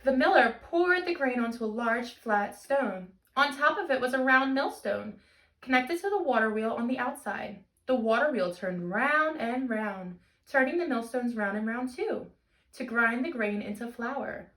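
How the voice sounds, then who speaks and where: Bright-voiced woman reading storytime, roomy echo. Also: fairly high pitch, a little slow, recorded from a distance. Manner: animated, expressive teacher talk with simple questions and explanations. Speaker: teacher hosting a children's storytime. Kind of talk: read-aloud of a picture book for young children.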